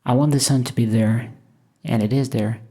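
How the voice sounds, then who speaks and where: A woman from Russia, thoughtfully talking over her painting process in a hesitant, musing way with many pauses. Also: a bass voice, steady tone, mellow. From Moscow, Russia. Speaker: painter and channel host. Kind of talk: reflective voice-over while painting.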